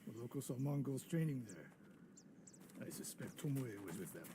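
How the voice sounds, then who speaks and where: A man playing a gruff old archery master. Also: medium pitch, animated, a little slow, background noise, natural voice. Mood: stern. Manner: gruff, curt, scolding. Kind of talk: video game cutscene acting. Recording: game audio with loud music behind.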